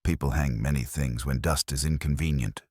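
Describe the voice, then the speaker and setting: A courteous police inspector as a male narrator puts him on talks in a very deep, husky voice. He is shrewd and dryly witty, understated in the way of a detective story. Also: steady tone, normal speed, no echo, studio quality. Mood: dry, wry.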